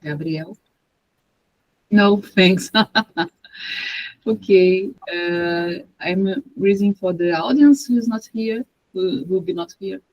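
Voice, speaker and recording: A native Portuguese speaker moderates a talk and reads audience questions aloud in English. The voice is mid-pitched and informal, with frequent pauses and fillers. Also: dull audio.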